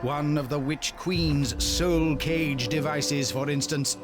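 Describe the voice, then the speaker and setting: An actor playing a jolly, pompous old-fashioned adventure-serial narrator with an upper-class English accent, in 1930s newsreel style. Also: male voice, fairly high pitch, fairly even intonation, slow. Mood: dramatic, teasing. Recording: loud music behind.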